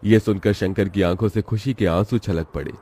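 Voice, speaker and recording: A man's voice: deep voice, moderate pace, mellow, breathy edge. A man narrating a scripted story as its storyteller.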